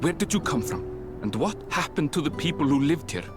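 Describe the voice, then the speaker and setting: A high, very animated voice for an earnest young man in a video game. He sounds troubled and questioning, over clear background music. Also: brisk. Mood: distressed. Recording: music behind the voice.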